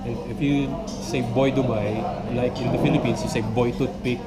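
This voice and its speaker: A man who blogs, asking questions in a relaxed café chat and pausing with "uh" as he thinks. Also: mid-range voice, over loud music. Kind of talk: casual interview chat. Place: busy indoor café. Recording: café with loud crowd chatter.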